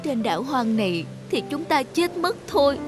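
Worried young mother in a cartoon folk tale, played by a woman, plaintive, fairly high, clear music underneath. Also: very animated, normal speed. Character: anxious, doubtful young wife and mother. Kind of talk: cartoon fairy tale character voice.

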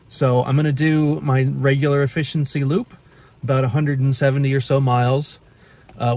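A man's voice: medium pitch, darkish tone. Car vlogger, man, 30s, from the Pacific Northwest; plain mid voice, loose and chatty, phone mic inside a car. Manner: chatty, matter-of-fact, many uhs and restarts. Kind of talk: casual vlog talk to camera. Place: inside a parked car at night. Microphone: phone camera at arm's length.

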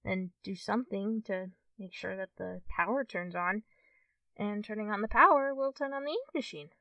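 A woman playing a friendly, shy, easily excited young fox-tailed girl in an ASMR roleplay, speaking hesitantly to the listener as a friend. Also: medium pitch, no room sound, distant mic, dull audio. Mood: casual, hesitant. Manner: hesitant, halting, giggly, addressing the listener as a friend. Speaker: roleplay performer.

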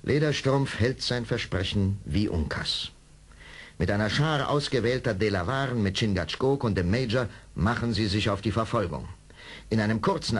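Male narrator, lowish, slightly rough husky tone, dramatic scripted radio drama storytelling.

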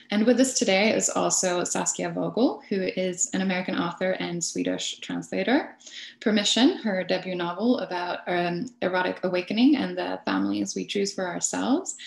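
A woman's voice: fairly low pitch, gravelly, echoey room. A woman chairing a video call panel, reading introductions from notes with frequent fillers. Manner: reads from notes, hesitant fillers. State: toothache.

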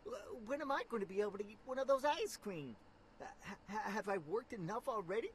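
High-pitched, eager, dreamy little boy. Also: lively intonation, a little fast, a little hiss or hum. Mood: eager, admiring. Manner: hesitant, stammering, eager to please. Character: naive, dreamy, eager young boy Rod. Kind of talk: video game cutscene acting.

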